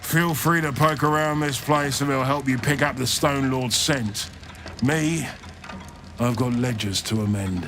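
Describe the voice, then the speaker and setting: Man playing a slow, wry, greedy banker. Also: medium pitch, natural voice. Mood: brisk, smug. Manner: wry, sarcastic, evasive. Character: self-serving male banker, wry, glib and greedy. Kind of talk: video game voice acting.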